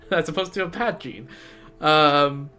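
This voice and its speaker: Casual game streamer over music. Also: medium pitch. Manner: halting, repetitive, offhand asides with gamer slang. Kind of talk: casual live gameplay commentary.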